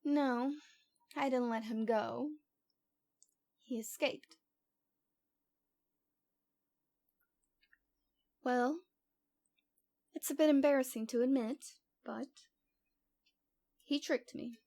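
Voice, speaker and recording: A woman's voice: high-pitched, steady tone, takes it slowly, creaky, pauses often, warm tone, full character voice. A woman playing a cute, lovesick young stalker girl in an ASMR roleplay, with a coy, pouty, dramatic delivery. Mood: wistful, embarrassed.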